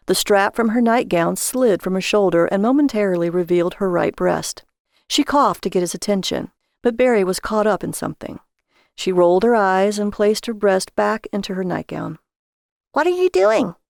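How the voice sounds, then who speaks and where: Creaky-voiced woman narrating a story. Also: mid-range voice, a little mellow, dry recording, clean studio sound. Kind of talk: scripted narration of a comic horror story.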